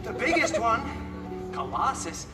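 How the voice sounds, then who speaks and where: High-pitched campy wisecracking superhero storyteller, acted by a man. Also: sing-song, unhurried, far from the mic, heavily acted. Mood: playful, arch. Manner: playful, arch, exaggerated asides to the audience. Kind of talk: theatrical live children's storytime parody. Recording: echoey theme park PA, loud music behind.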